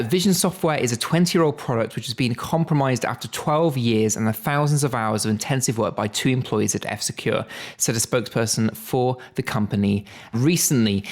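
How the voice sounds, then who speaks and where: A man presenting a tech podcast as host, chatty and upbeat in a semi-scripted style. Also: mid-range voice.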